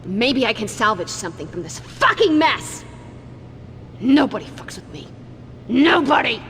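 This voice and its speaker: An actress playing a ruthless, volatile crime boss in a video game, imperious and threatening. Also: female voice, on the high side, very animated, unhurried, in a large hall, noisy background. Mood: furious. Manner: imperious, threatening, foul-mouthed. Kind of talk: video game villain acting.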